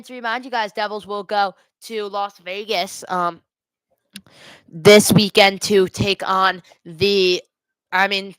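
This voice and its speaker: A woman with a clear, smooth voice commentates a hockey game live. She mixes play-by-play with casual chat as a watch party host. The sound is dry and close, on a headset mic. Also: mid-range voice, fairly bright tone, dry recording, rough recording.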